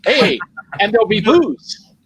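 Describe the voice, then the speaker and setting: A man's voice: high-pitched, animated, unhurried, fairly bright tone, slightly rough, low-quality recording. A man co-hosting a casual panel chat over a video call. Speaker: co-host.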